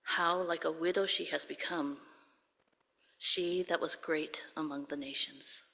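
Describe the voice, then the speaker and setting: A reader slowly and solemnly reading a scripture passage aloud in church. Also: mid-range voice, fairly even intonation, vocal fry, bright, forceful tone. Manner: slow, measured reading. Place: church sanctuary. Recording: long church hall reverb.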